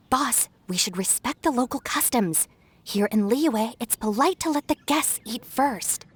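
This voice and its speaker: A woman voicing a sensible, no-nonsense young gang deputy in a video game, firm, reasoning and gently chiding. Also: a little high, expressive, unhurried, breathy edge. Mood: admonishing. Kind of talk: video game cutscene acting.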